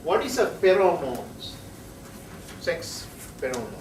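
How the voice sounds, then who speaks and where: A male chemistry professor, lecturing a class in a halting way, with many pauses and frequent checks of "right?". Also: on the high side, very animated, recorded from a distance, slight background noise. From Sri Lanka. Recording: echoey classroom heard from afar.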